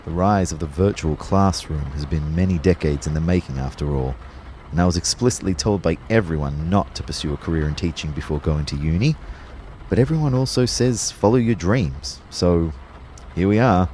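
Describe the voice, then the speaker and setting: Low-voiced wry young narrator played by a man. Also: normal speed, darkish tone, a little hiss or hum, natural voice. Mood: resigned, wry. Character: wry young personal trainer narrating his life. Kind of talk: first-person audio drama narration.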